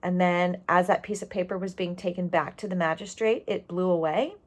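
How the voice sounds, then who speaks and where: A woman reading a storybook aloud, expressive and explanatory, pausing on key words and adding asides for young listeners. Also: fairly low pitch.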